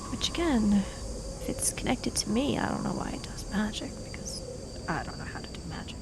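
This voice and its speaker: A woman's voice: medium pitch, sing-song, a little fast, heavy background noise. A woman improvising casual in-character dialogue as a player in a tabletop roleplay podcast.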